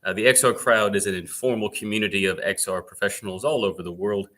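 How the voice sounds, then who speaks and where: Bright-toned halting male host. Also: fairly low pitch, fairly even intonation. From United States. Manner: halting, repeats words often. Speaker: interview host. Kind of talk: online fireside chat interview.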